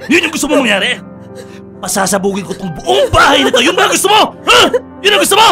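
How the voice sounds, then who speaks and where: A man's voice: very high-pitched, animated, quick pace, shouting, music in the background. A man playing a hot-tempered, bullying husband in a radio drama, confrontational and taunting with repeated questions. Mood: menacing, furious.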